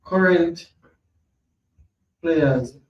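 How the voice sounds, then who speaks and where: Slow, rambling man, roomy lo-fi sound. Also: a little high. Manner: slow, rambling, thinks aloud, repeats himself. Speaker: podcast host. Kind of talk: casual football chat, reading from screen. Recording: roomy, lo-fi, mic at a distance, faint hum.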